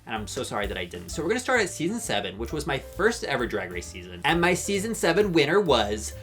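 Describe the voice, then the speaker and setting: Irish guy in his twenties, rapid-fire chatter, rambling and self-mocking, faint music underneath. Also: mid-range voice, huge pitch swings, a bit bright. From Ireland. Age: 20s. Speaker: YouTube commentator. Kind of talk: casual vlog commentary.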